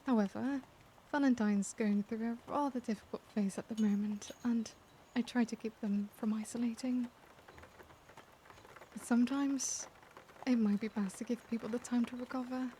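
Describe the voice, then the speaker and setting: A woman voicing an elegant, caring vampire lady in a soft-spoken ASMR roleplay, speaking gently with formal, old-world courtesy. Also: on the high side, slight background noise, lightly acted. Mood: courteous, inviting, then reflective. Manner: genteel, gentle, doting, formal wording.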